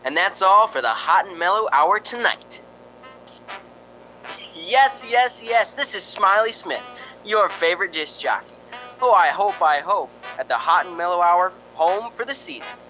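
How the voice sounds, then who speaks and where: A woman playing an excitable 1940s radio disc jockey with hammy, teasing showman patter in a radio drama. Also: fairly low pitch, unhurried, faint music behind, poor audio. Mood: upbeat. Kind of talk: old-time radio drama acting.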